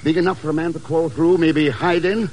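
An actor playing a shrewd, matter-of-fact secret agent in a 1950s radio drama, speaking tersely and probingly. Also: male voice, a little high, steady tone, a little fast, darkish tone, close to an everyday voice. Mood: probing, cool. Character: cool, clipped, shrewd male secret agent. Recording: noisy old 1950s radio recording.

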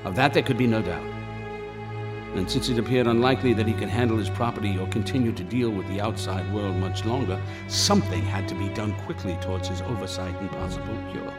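An actor playing a grave, formal gentleman doctor in a radio drama, with measured delivery. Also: lowish voice, loud music behind the voice, close to an everyday voice. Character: grave, concerned gentleman doctor narrating his account. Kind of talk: radio drama narration and dialogue.

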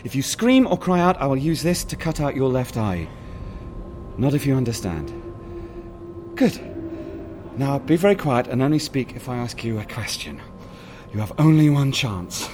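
A man's voice: sing-song, natural voice. A man playing a coldly polite killer. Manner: cold, polite and deliberate. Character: calm, menacing adult male killer. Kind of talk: audio drama acting. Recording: noisy recording with a low rumble.

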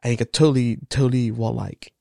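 A man from the Netherlands, hosting a casual interview in a halting way, often repeating and restarting his phrases. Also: medium pitch, fairly even intonation, gravelly, a little mellow, no room sound, studio quality. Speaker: interview host.

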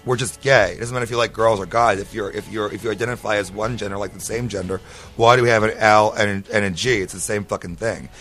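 A man's voice: fairly low pitch, steady tone, fast, fairly bright tone, faint music behind. A man in his twenties chatting casually and comedically, telling stories emphatically with profane quips. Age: 20s. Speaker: talk show panelist.

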